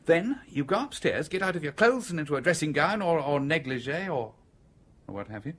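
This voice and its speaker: A man in a radio drama playing a cool-headed, commanding British gentleman schemer, brisk, wry and bossy. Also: on the high side, very animated.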